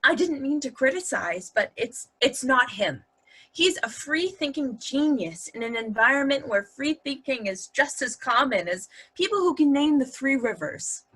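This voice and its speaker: Dry-witted, loyal, blunt woman played by a female actor, pointed delivery, high, forceful, slightly husky voice. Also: lively intonation, moderate pace, exaggerated voice, rough recording. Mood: defensive. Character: blunt, dry-witted woman fiercely defending her partner. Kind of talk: virtual table read of a stage comedy-drama.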